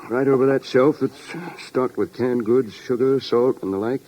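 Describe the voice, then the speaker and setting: An actor playing an unhurried, folksy small-town American sheriff who thinks aloud hesitantly in a 1950s radio drama. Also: male voice, medium pitch, fairly even intonation, warm tone, close to an everyday voice. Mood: thoughtful. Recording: dull vintage radio recording.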